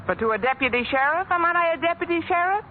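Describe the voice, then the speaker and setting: An actress playing a dry, wisecracking woman schoolteacher in a radio sitcom, tossing off sardonic quips in a deadpan way. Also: extremely high pitch, fast. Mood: wry. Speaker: schoolteacher deputized as a sheriff. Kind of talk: 1950s radio sitcom acting. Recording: tinny, hissy old radio broadcast.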